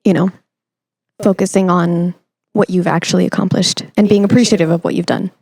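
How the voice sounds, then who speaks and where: A woman's voice: lowish voice, fairly even intonation, brisk, creaky, darkish tone, husky, dry recording. A young woman hosting a podcast interview in a conversational, reflective way, thinking aloud as she phrases her questions. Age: young adult. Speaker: podcast host.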